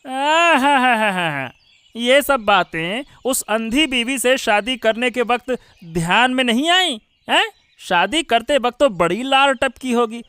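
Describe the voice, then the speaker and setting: A haughty cartoon royal minister, with an extremely high, crisp, ringing voice. He mocks and commands in slow, drawn-out phrases, sneering as he goes. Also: expressive, pushed and bright, no room sound, heavily acted. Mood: mocking. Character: haughty, mocking royal minister scolding a young man. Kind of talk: Hindi cartoon voice acting.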